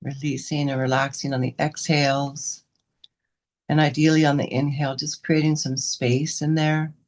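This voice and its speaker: A woman guiding a meditation as a teacher, giving unscripted gentle instructions in short, unhurried phrases with pauses between them. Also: sits low, flat delivery. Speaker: meditation and yoga teacher leading a guided session.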